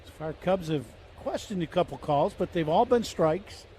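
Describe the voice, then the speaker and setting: A man with a notably high voice breaking down pitches and strategy as a radio color commentator. He talks in a conversational, analytical way and leans hard on his key points. Faint noise runs under him. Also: expressive, moderate pace, warm tone.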